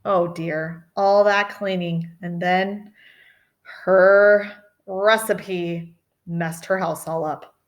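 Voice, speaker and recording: A woman's voice: on the low side, highs cut off. A middle-aged woman reading a children's picture book expressively, with dramatic emphasis and chatty asides. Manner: animated, deliberate, dramatic emphasis. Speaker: storytime reader.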